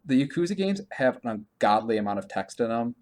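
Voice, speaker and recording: A man's voice: medium pitch, very animated, fast talker, a little mellow. A man hosting a video game podcast, chatting casually about gaming news in a rambling, stammering way and often repeating himself.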